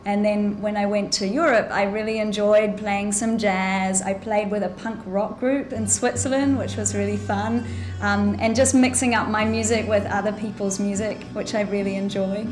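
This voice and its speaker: A woman's voice: mid-range voice, little pitch movement, normal speed, over music. A woman with a New Zealand accent chatting enthusiastically as a musician being interviewed. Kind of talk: casual interview. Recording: echoey room.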